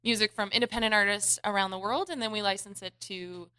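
A woman from Canada co-hosting a podcast, chatty and quick to laugh, close on a studio mic. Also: medium pitch, steady tone, pushed and bright, clean tone. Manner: laughs easily, bubbly. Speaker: guest co-host. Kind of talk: casual chat.

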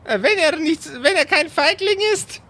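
A man's voice: very high-pitched, moderate pace, sharp tone. A man voicing a prim, stammering, smug magician rival in an improvised tabletop audio play. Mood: prim, boastful. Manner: stammering, hesitant.

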